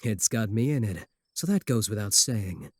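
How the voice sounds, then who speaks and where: A man's voice: fairly low pitch, expressive, normal speed, darkish tone, dry recording. A man playing a cocky, obsessive, easily offended werewolf in love, boasting earnestly and throwing dry sarcastic jabs in a comedic ASMR roleplay.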